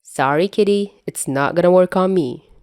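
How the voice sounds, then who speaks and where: A woman's voice: fairly low pitch, normal speed, darkish tone, clean tone, no echo, clean studio sound, close to an everyday voice. A woman playing a fondly exasperated, protective fatherly man in an audio story, teasing and soothing.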